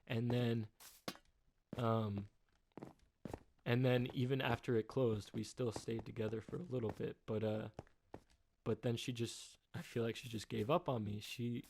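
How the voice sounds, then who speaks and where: Rambling, halting young man. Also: mid-range voice, fairly even intonation, vocal fry, darkish tone, a little hiss or hum. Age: 20s. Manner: rambling, halting with many fillers and restarts. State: subdued, going through a rough time. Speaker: YouTuber. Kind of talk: personal update vlog.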